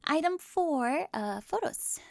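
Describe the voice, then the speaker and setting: A young woman acting a bossy, easily flustered, over-organized high school girl with a crush, in an ASMR roleplay. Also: animated, takes it slowly, creaky, heavily acted. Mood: brisk, officious. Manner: indignant, emphatic, easily flustered, stammering when caught.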